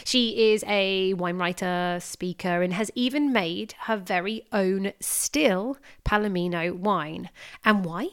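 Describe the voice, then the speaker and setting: A woman hosting a wine podcast, reading her intro with lively enthusiasm, then interviewing her guest. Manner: enthusiastic, expressive delivery with swooping pitch. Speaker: podcast host.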